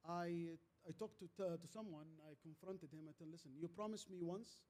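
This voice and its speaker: A man with an Arabic accent, a native Arabic speaker, telling stories as a guest in a fireside chat, haltingly, repeating words and landing on short emphatic phrases. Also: a little high, a little mellow. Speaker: guest speaker, transformation leader. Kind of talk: fireside chat, anecdotal storytelling.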